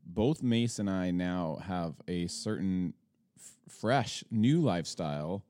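A man hosting a podcast in a casual morning chat, groggy and halting, laughing often and restarting his phrases. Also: deep voice, lively intonation, warm tone, no room sound, studio quality. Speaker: podcast host.

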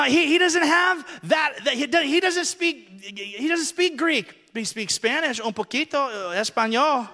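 A man preaching a lively sermon, joking with volunteers he brings on stage. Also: very high voice. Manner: joking, animated, talks to people on stage. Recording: reverberant hall sound.

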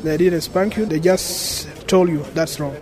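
A man's voice: fairly high pitch, a little slow, darkish tone. A young man from South Sudan with a South Sudanese accent, answering in a casual street interview outdoors. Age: young adult. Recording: busy outdoor street with crowd.

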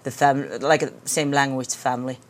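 A woman's voice: very deep voice, flat delivery, normal speed. A woman from Finland with a Finnish accent, a guest speaking English as a second language, searching for words in plain phrases. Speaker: interview guest.

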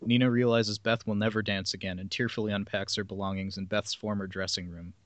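A man's voice: on the low side, flat delivery, brisk, fairly bright tone, no room sound. A man hosting a podcast, reading a plot summary aloud with casual asides. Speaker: podcast host reading film synopses.

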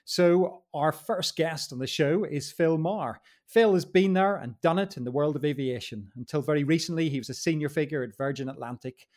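Male interview host, slightly higher voice, smooth welcoming delivery. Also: normal speed, few pauses, a little mellow. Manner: summarizing, welcoming. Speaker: podcast host. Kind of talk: hosting interview.

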